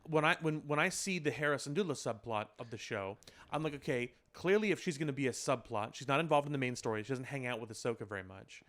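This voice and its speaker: A man's voice: medium pitch, animated, fast talker, a bit bright. A man hosting a casual pop culture podcast, reasoning things out analytically in stops and starts, with some casual swearing. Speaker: podcast host.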